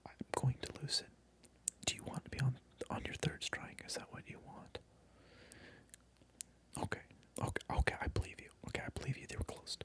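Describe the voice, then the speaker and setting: A man whispering through a comedic ASMR role-play, mock-indignant and repeating his phrases over and over. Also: brisk. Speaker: ASMR creator.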